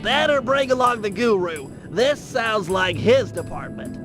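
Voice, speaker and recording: A high-pitched nerdy brainy sidekick. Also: male voice, expressive, a little slow. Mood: focused, helpful. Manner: precise, fussy, technical. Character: nerdy, excitable male brainy strategist sidekick. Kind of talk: video game cartoon dialogue. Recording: loud music behind the voice.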